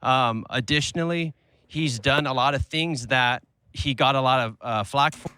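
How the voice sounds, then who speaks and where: A man's voice: mid-range voice, moderate pace, bright, forceful tone, smooth, dry recording, studio quality. A man making a long, earnest, rambling point in a group debate.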